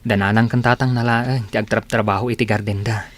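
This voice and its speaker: A man's voice: on the low side, steady tone, fairly quick, natural voice. A man playing a friendly, lovelorn village bachelor farmer in a radio comedy drama. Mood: wistful.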